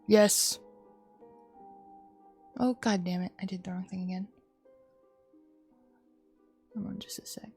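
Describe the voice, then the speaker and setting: A woman livestreamer chatting casually in quiet, muttered asides with some swearing. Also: medium pitch, steady tone, slow, creaky, long pauses. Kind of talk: casual game stream chat. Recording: music behind the voice. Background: music.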